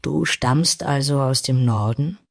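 A man voicing a blunt, resolute female goblin barbarian in a fantasy audio drama, with a husky voice and deliberate, dramatic delivery. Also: medium pitch, dry recording, clean studio sound. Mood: curious.

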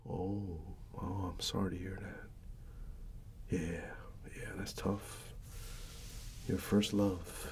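A middle-aged American man doing a soft-spoken ASMR barber roleplay, breathy and often near a whisper, with an unhurried, halting, fatherly manner. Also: sits low, flat delivery, a little hiss or hum. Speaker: ASMR performer playing a barber.

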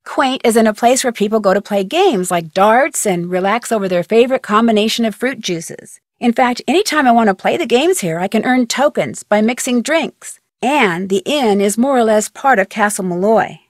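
A young woman with an American accent playing a chatty, curious, level-headed amateur detective in a video game, speaking conversationally with clear diction. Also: a little high, animated, normal speed, hardly any pauses, dry recording, clean studio sound, lightly acted. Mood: chatty, explaining. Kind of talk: video game voice acting, conversational phone-call dialogue.

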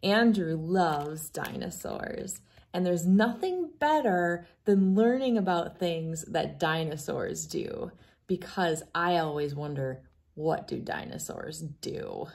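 A woman in her thirties reading a picture book aloud in an expressive storytelling manner for young children. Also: deep voice, takes it slowly, gravelly. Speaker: children's book reader and host. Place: living room at home, indoors.

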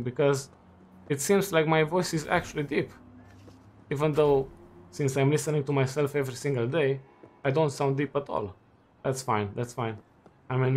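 A man in his twenties chatting casually while playing a game, relaxed and rambling with lots of fillers and restarts. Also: mid-range voice, slight background noise. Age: 20s. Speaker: student gaming while chatting. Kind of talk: casual chat over gameplay.

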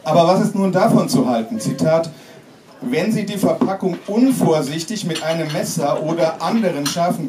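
A man in his forties gives a live reading of a satirical text with mock-solemn, deadpan theatricality. His voice sits slightly high. It is picked up from some distance in an echoey small room. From Germany. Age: 40s. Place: small pub-like room with audience, indoors. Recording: roomy, distant mic, clearly noisy background.

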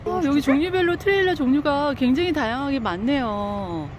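A woman's voice: high voice, moderate pace. A woman vlogging as she tours a campground, chatty and exclaiming often, recorded outdoors on a phone. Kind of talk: casual vlog narration. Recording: outdoor phone audio with clear background noise.

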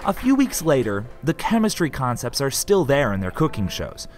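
Man narrating a lively news feature. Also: medium pitch, moderate pace, a faint crowd. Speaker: reporter. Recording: voice-over with music behind.

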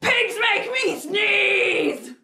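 A woman voicing a male storybook elephant, anxious and melodramatic, with drawn-out theatrical exclamations. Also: high voice, animated, a bit bright, pitched above the performer's own voice, exaggerated voice. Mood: loud anguish. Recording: roomy, echoing sound.

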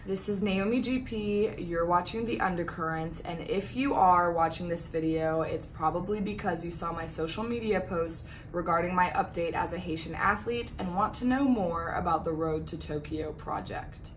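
A woman's voice: on the low side, normal speed, few pauses, slight background noise. A woman in her twenties, from Canada, speaking straight to the camera in a casual, upbeat vlog. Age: 20s. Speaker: vlogger and Olympic swimmer. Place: indoors, talking to the camera.

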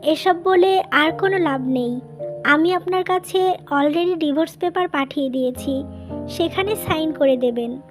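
A wronged young wife from a cartoon family drama, played by a woman. Her voice is extremely high, wounded yet firm and proud. Soft music runs underneath. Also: measured pace. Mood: wounded, resolute. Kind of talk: dubbed cartoon drama dialogue.

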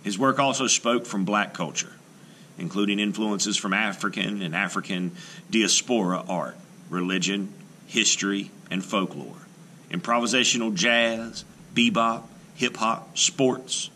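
A man, an art teacher, reading a children's biography book aloud in measured, expressive phrases with deliberate pauses. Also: fairly low pitch, slight background noise.

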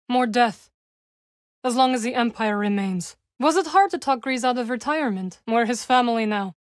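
A solemn young witch warrior from a video game, played by a woman. Her voice sits a little high and she chooses her words formally, with measured care and a guarded, wry edge. The sound is dry, with no room around it. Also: dry recording, close to an everyday voice. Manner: measured, formal phrasing with few contractions. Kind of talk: video game character dialogue.

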